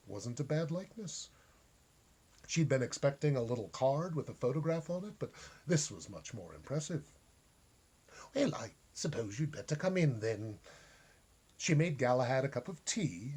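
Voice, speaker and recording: A man reading a short story aloud as an expressive narrator. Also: mid-range voice, brisk, breathy edge. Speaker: story reader.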